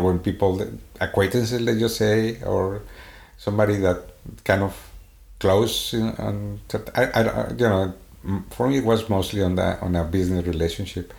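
A man with a Swedish accent speaks in a somewhat low voice, searching for words, with frequent fillers and restarts. The recording has a roomy echo around him. His delivery is unhurried and conversational. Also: creaky. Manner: halting, many restarts and fillers. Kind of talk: casual conversation. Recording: echoey room.

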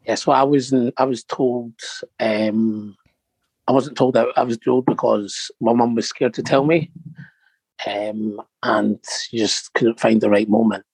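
A man in his thirties, born in Morocco and raised in Scotland, speaking softly and hesitantly as an interview guest. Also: medium pitch, fairly even intonation, unhurried, gravelly, husky, dry recording, rough recording. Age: 30s. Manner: soft-spoken, hesitant with many ums. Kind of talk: personal interview.

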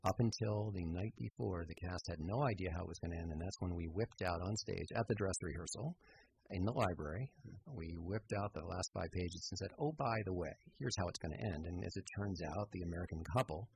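A man's voice: low-pitched, brisk, creaky, darkish tone, a little hiss or hum, low-quality recording. A man who is a radio theater producer, telling stories conversationally as a guest in an interview. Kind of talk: conversational storytelling.

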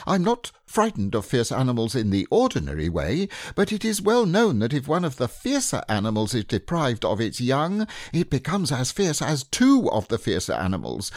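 A man voicing a small, timid young male piglet who speaks in a high, hesitant, stammering way in an audiobook reading. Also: wildly swooping pitch, normal speed, few pauses, no room sound, studio quality.

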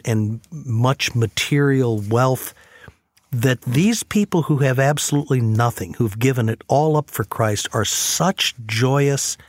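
A man with a mid-pitched voice tells stories in an earnest, reflective way. He sounds like a conversational radio host, recorded dry and close. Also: dry recording. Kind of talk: conversational storytelling on a faith talk show.

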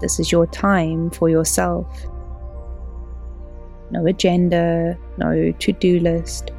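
A woman guides a relaxation meditation at a very slow, unhurried pace. Her voice is mellow and fairly low, and she gives soothing, gentle instructions over music. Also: flat delivery, creaky. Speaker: yoga teacher and podcast host.

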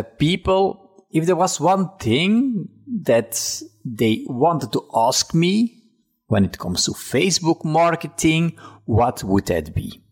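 A man, a Dutch speaker speaking English, hosting his own podcast and telling a personal story in a relaxed, chatty way with fillers and restarts. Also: mid-range voice, breathy edge. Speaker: podcast host. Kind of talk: casual personal storytelling monologue.

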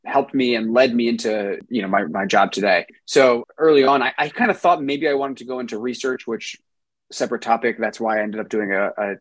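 A man from New Jersey, a software engineer answering interview questions in a relaxed way, with many ums and restarts. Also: mid-range voice, no room sound. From New Jersey, USA.

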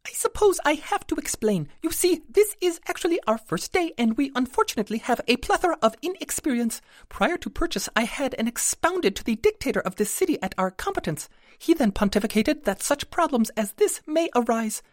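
A woman voicing a tiny, perky female winged shopkeeper who speaks with a high, squeaky voice, stammers when flustered and uses ornate words. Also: very animated, a little fast, few pauses, dry recording, clean studio sound.

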